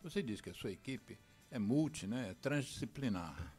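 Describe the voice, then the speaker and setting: A man interviewing a guest, asking questions and building on the answers in a steady mid-range voice. Faint music sits underneath. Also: medium pitch, moderate pace. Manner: inquisitive, builds on the guest's points.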